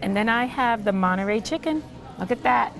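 A woman's voice: a little high, expressive, brisk, gravelly, fairly bright tone. A woman in her thirties on a lapel mic, hosting a TV food segment in a bubbly, chatty way. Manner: bubbly, chatty, laughs often. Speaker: TV host. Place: large busy restaurant dining room. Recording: restaurant crowd chatter behind. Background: diners chatting.

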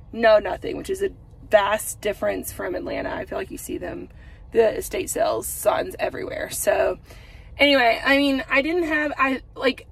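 A woman's voice: on the high side, gravelly. Chatty woman rambling in a parked car. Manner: rambling, chatty, filler-heavy. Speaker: home decor vlogger. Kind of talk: casual chat to camera. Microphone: phone camera at arm's length.

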